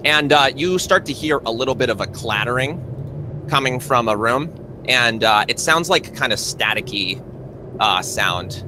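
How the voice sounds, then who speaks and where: A man narrating a tabletop roleplaying game as game master, improvising in a halting, conversational way. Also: medium pitch, expressive. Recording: live stream with clear background noise.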